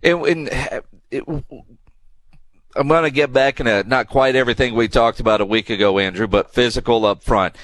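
A man with a mid-pitched voice speaks in a very dry studio sound. He hosts sports radio, talking through football conversationally as he interviews a guest. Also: normal speed, fairly bright tone, dry recording.

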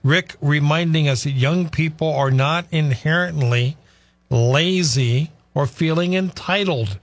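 A man's voice: fairly high pitch, measured pace, no echo. A man hosting a radio call-in talk show, handing off between callers with short, friendly interjections.

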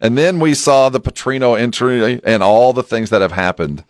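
A man's voice: mid-range voice, moderate pace, dry recording. Dry, close-miked male sports radio host. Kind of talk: lively radio show chat.

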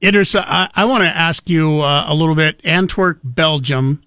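A man interviews a young athlete, his questions wandering and full of stops and restarts. His voice sits a bit high and sounds dry and close. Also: sharp tone, dry recording. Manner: rambling, halting questions.

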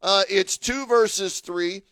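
A man's voice: sits high, slow pace, a bit bright, no room sound, clean studio sound. A man co-hosting a sports talk radio show, talking casually and getting emphatic as he argues a point. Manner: chatty, emphatic, gets fired up arguing.

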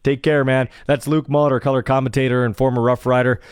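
A man's voice: mid-range voice, flat delivery, fairly quick, clean tone, no room sound, studio quality. A man hosting a radio sports show, talking in an easygoing, casual way. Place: radio studio.

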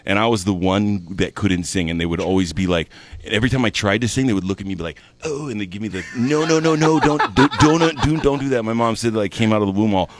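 A man's voice: lowish voice, quick pace. A young man from Hawaii with a froggy voice, chatting casually as a guest musician and telling stories with lots of fillers. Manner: relaxed storytelling, many fillers. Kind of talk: casual chat.

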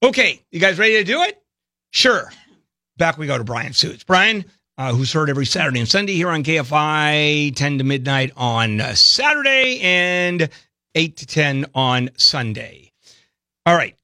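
A man's voice: a little high, big pitch swings, normal speed, sharp tone, no echo, clean studio sound. A man hosting a talk radio show, chatty and jokey, with frequent fillers.

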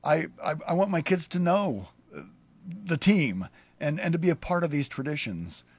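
A pastor hosting a casual conversation, a man who talks in halting, rambling sentences with many restarts. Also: a little high, sing-song, husky, dry recording. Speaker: pastor and show host.

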